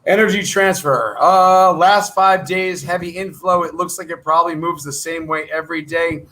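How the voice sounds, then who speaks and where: High, bright-voiced man coaching traders. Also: fairly even intonation, poor audio. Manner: brisk salesy patter, thinking aloud. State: losing his voice. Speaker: trading coach. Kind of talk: live stream chat.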